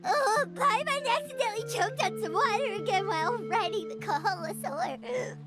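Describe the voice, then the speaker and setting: A woman voicing a tiny, cheeky, childlike fairy companion in a video game, squeaky, with loud music behind. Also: lively intonation, moderate pace. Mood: whiny.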